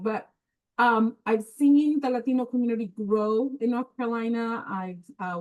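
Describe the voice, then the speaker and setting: A woman's voice: on the high side, a little mellow, low-quality recording. A woman born in Peru, who grew up in New York, gives a casual, chatty webinar presentation over a video call, with frequent filler words.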